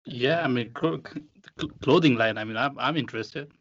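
A man's voice: medium pitch, moderate pace, no room sound. A male co-host banters and jokes in a casual podcast chat. His voice sounds dry and close. The recording is dull and lo-fi. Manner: joking, teasing.

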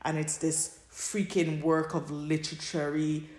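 A woman with a low voice talks casually as a podcast co-host. She retells things in an animated, conversational way. The recording is roomy and echoey. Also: takes it slowly, slight background noise. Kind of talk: casual chat about fan fiction.

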